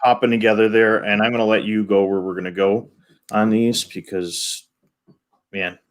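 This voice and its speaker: A man co-hosting a live show, addressing viewers in halting, rambling talk full of stammered restarts. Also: on the low side, flat delivery. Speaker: co-host reading chat questions.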